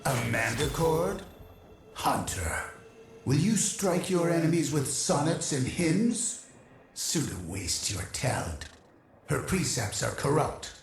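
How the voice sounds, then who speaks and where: Video game AI, male: pompous, sarcastic, theatrical, with swooping pitch and a creaky edge. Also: very animated, slow pace, roomy sound, a little hiss or hum, exaggerated voice. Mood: scornful. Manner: mocking, pompous. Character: scornful, theatrical male machine mind, bitingly sarcastic. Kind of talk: scripted video game character dialogue.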